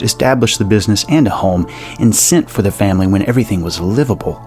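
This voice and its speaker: A man reading scripted narration for a horror story. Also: lowish voice. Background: music under the narration.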